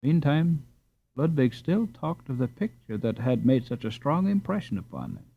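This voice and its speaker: A man's voice: mid-range voice, very animated, moderate pace, creaky, a little hiss or hum. A man reading a children's novel aloud as a scripted audiobook narration. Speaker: audiobook reader. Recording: slightly dull, dry recording.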